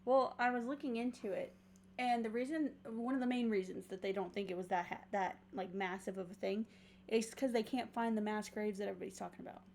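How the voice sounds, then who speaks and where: A woman's voice: mid-range voice, steady tone, fairly quick, gravelly, darkish tone, a little hiss or hum. A young adult woman co-hosting a podcast, chatting casually and asking her co-host questions. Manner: chatty, asks questions, steers the talk. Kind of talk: casual chat.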